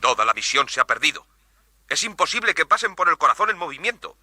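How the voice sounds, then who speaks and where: A man's voice: on the high side, sing-song, fast, sharp tone, full character voice. An actor playing a tense male mission chief in a radio drama, giving clipped, authoritative orders. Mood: alarmed.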